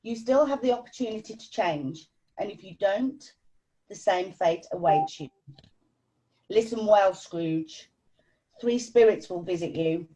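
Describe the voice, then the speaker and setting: A woman's voice: mid-range voice, measured pace, mellow, natural voice. A woman playing a tormented, chained ghost who gives grave warnings, read over a dull, distant video call.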